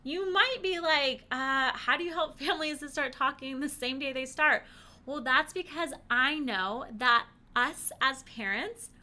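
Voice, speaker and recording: A woman giving an upbeat, chatty lesson to parents, encouraging and animated, with frequent rhetorical questions. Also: high-pitched. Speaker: presenter teaching parents. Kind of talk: upbeat conversational instructional talk to camera.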